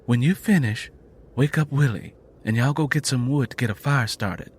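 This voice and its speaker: A man's voice: medium pitch, normal speed, slightly rough, natural voice. A man voicing a weary, stoic mother of a poor rural family in an audiobook drama, with folksy dialect. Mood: matter-of-fact.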